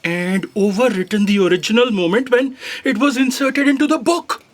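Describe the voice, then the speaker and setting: A woman's voice: fairly high pitch, normal speed, lightly acted. A woman playing a dutiful office aide in a comic audio drama, dry and snide in manner. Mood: wry.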